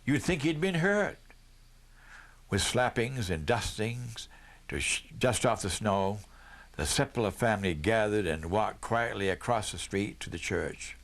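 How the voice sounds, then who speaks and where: A man's voice: on the low side, measured pace, creaky, a bit bright. Man reading a children's Christmas story aloud. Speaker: storybook reader.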